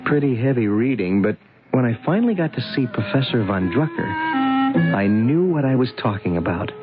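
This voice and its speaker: An actor playing a smitten, scheming suitor in a vintage radio drama, with an intense, ingratiating and hesitant manner. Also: male voice, mid-range voice, sing-song, moderate pace, loud music behind the voice, close to an everyday voice. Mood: sly, confiding. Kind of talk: vintage radio drama acting and first-person narration. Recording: tinny band-limited old radio recording.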